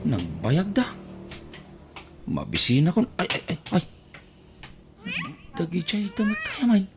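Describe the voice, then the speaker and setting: Man acting slow, swooping, indignant poor relation Bentong. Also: high-pitched, huge pitch swings, slight background noise, heavily acted. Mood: puzzled grumbling. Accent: Ilocano. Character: put-upon, indignant male poor relation named Bentong. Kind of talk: audio drama acting.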